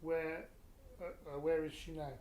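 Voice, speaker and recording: A man's voice: fairly high pitch, little pitch movement, measured pace, darkish tone, natural voice. A British actor playing a stunned middle-aged father, hesitant and understated, in a radio play. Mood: stunned, subdued. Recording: echoey room with faint noise.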